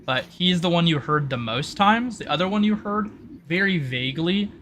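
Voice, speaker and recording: A man's voice: fairly high pitch, animated, moderate pace, a bit bright. A man running a tabletop roleplay session as game master, talking casually and explaining rules off the cuff, with some noise in the recording.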